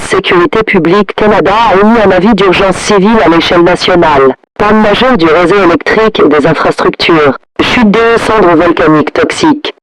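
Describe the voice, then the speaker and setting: A man's voice: high voice, poor audio. A man reading a scripted emergency announcement in a formal, impersonal manner.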